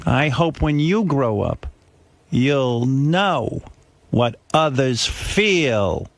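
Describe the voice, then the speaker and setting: A man voicing an aggrieved, self-pitying older mother who guilt-trips in a drawn-out, martyred way. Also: medium pitch, expressive, gravelly, heavily acted.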